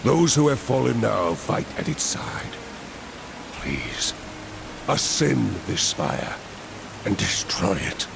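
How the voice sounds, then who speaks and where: A grave gravelly otherworldly commander, slow and wildly swooping theatrical game voice, rainy noise behind. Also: male voice, fairly low pitch, very animated, exaggerated voice. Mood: grave pleading. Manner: archaic, theatrical, solemn. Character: grave, commanding male otherworldly leader of soldiers. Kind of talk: game cutscene dialogue.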